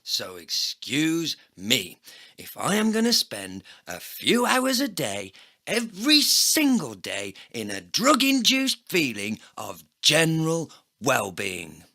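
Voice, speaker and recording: A man's voice: a little high, huge pitch swings, slow pace, gravelly, sharp tone, pitched above the performer's own voice, full character voice. Man playing a bitter, exasperated, foul-mouthed drinker. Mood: bitter ranting. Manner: ranting, exasperated.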